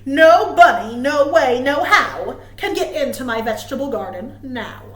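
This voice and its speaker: Woman voicing an emphatic, high-pitched storybook gardener man. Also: expressive, unhurried, fairly bright tone, echoey room. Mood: boastful, defiant. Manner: emphatic, boastful, huffy. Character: determined, indignant man gardener from a children's storybook.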